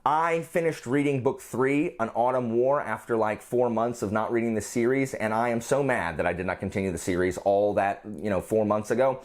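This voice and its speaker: A man talking casually as a book vlogger, gushing and emphatic, often repeating phrases to drive a point home. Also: medium pitch. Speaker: book reviewer and channel host. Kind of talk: casual chatty book vlog.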